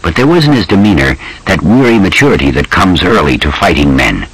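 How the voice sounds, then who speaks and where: A man narrating a historical novel audiobook in an expressive, animated storytelling manner. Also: low-pitched, fairly quick, breathy edge, no echo, rough recording.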